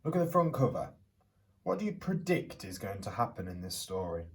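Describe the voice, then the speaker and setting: Animated man reading a children's storybook, slightly distant. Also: fairly low pitch, a little fast. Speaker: primary school teacher reading aloud. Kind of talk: expressive children's storybook reading with questions to listeners.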